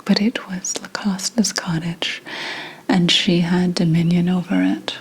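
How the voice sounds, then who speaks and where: A woman voicing a 17th-century witch who tells of her coven in a breathy ASMR whisper, hushed and deliberate. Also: lowish voice, flat delivery, slight background noise, close to an everyday voice, poor audio. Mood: ominous, hushed. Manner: slow, hushed, deliberate storytelling. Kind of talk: whispered ASMR roleplay storytelling.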